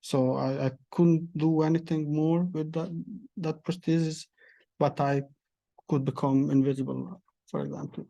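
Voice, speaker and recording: A young man from Bosnia, speaking as a panel guest with many pauses and restarts. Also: on the high side, fairly even intonation, vocal fry, dark tone, no echo. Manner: halting, many hesitations and restarts. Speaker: panel guest, prosthesis user. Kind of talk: panel discussion answers.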